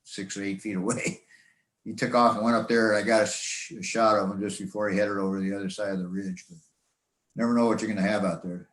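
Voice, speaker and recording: Older American male, low register, informal rambling club presenter, halting delivery, echoey sound. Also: steady tone. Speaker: speaker presenting to a radio club. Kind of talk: informal slideshow talk, reminiscing.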